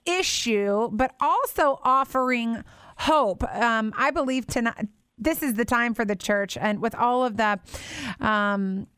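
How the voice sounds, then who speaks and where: Bubbly woman radio host, crisp voice. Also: on the high side, slow, a bit bright, no room sound, clean studio sound. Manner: bubbly, rambling, many fillers and restarts. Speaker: radio talk show host. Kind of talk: casual chatty radio talk. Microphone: studio microphone.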